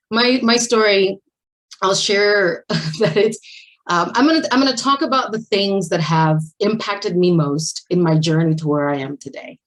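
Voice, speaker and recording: Woman, 40s, from Puerto Rico, guest speaker; low-ish voice, stumbling restarts, moved. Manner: emotional, halting, with frequent restarts and laughs. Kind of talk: personal talk at a virtual symposium. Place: home at a video call. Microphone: video call mic.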